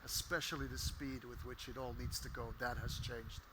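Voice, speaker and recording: A man speaking as a panelist who implements systems, with a measured, thoughtful way of talking. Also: mid-range voice, steady tone, background noise. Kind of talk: panel discussion.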